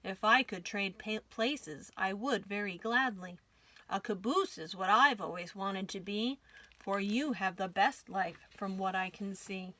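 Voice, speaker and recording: A woman's voice: mid-range voice, measured pace, bright, forceful tone. Woman voicing a wistful, sad little storybook shack. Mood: sad longing.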